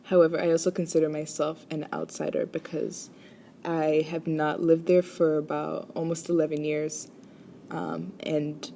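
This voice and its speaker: Woman, low flat voice, hesitant school presentation. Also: vocal fry, a little hiss or hum. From Rwanda. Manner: hesitant, many ums and restarts. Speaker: chiropractic student. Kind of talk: presenting a class assignment.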